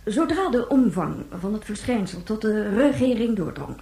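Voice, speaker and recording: An actress playing a composed, formal president of a space colony in a radio play, explaining matters in a measured, official manner. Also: female voice, mid-range voice, very animated, mellow. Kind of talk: radio play acting as a news interview. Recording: roomy, echoing sound.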